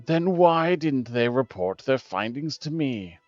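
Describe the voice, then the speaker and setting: A man's voice: mid-range voice, animated, a little slow, no echo, lightly acted. A man playing an indignant male investigator, formal and insistent, accusing others in a dramatic political game scene. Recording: dull lo-fi audio with music behind.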